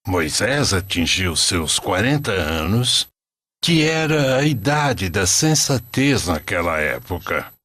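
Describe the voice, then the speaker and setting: A man playing a devout, patient old grandfather narrating a sacred animated story. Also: low-pitched, slow pace, full character voice. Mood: solemn storytelling.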